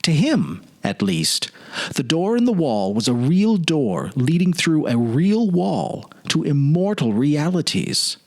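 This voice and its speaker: A man narrates a literary story in a dramatic style. His pitch moves widely and expressively, and he shapes his phrases deliberately with pauses for effect. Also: sing-song, a little mellow. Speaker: presenter and narrator.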